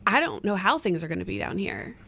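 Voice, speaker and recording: A woman's voice: medium pitch, sing-song, a little fast, gravelly, a bit bright, slightly rough. A woman who works as a sports host and reporter, talking casually on a roundtable. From Carolina, United States.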